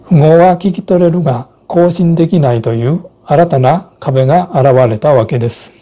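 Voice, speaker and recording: A man in his sixties giving measured, explanatory narration from a script as an instructor. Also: fairly high pitch, warm tone.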